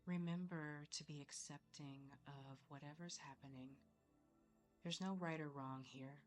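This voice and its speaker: A woman leading a guided meditation in a soothing, measured way, leaving long pauses between phrases. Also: low-pitched, fairly even intonation. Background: soft background music.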